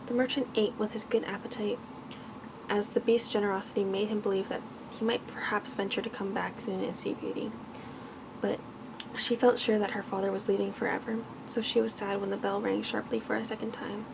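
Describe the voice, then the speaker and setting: A woman reading a bedtime story in a soft, hushed ASMR style that at times turns whispery. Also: medium pitch, creaky, noisy background.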